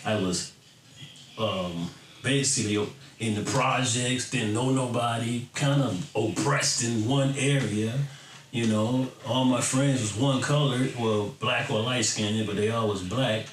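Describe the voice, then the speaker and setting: A man's voice: medium pitch, fairly even intonation, measured pace. A middle-aged American man talking casually and earnestly to his fans, in an informal, rambling way. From Florida, then Michigan, United States. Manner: informal, earnest, rambling, often tagging "you know". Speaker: electronic bass music artist. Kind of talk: casual reflective talk to fans. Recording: roomy, distant mic, faint background noise.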